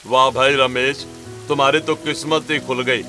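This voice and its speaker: Humble village farmer, forceful higher male voice, grateful cartoon delivery, faint music behind acted by a man. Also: steady tone, normal speed, natural voice. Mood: proud, grateful. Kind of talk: cartoon story voice acting.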